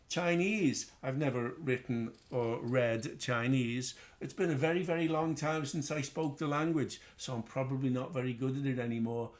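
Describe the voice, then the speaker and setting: A middle-aged man with a Liverpool accent, hosting a casual trading webinar in a chatty, rambling way with self-deprecating asides. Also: fairly high pitch. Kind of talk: casual live webinar teaching.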